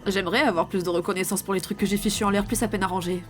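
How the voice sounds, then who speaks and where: A woman voicing a stern, weary female sheriff in an animated western fan dub, curt, commanding and exasperated. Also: mid-range voice, very fast, soft background music, natural voice.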